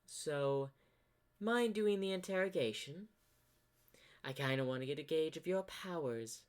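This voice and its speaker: A man's voice: fairly high pitch, expressive, unhurried, smooth, pitched above the performer's own voice. A man voicing a boastful, sadistic female unicorn pony sorceress in a fan-made story, smug and taunting. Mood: condescending.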